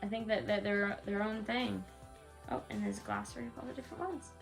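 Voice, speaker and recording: A woman's voice: mid-range voice, fairly even intonation, a little fast, gravelly, soft background music. A woman reading a rhyming bedtime story aloud to a young child, in a sing-song way with casual asides.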